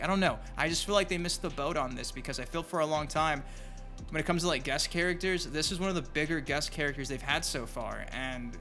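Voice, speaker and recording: A man's voice: on the high side, bright, forceful tone. A man speaking as host of a gaming news channel, giving casual, colloquial opinion commentary straight to his viewers. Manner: colloquial, profane, addresses viewers directly. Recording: music behind the voice. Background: music.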